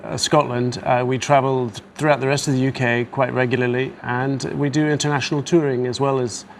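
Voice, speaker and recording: A man's voice: mid-range voice, level tone, fairly quick, slight background noise. Friendly man in his forties, quiz contestant. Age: 40s. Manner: friendly, easygoing, chatty. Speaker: quiz contestant, team captain. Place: television studio.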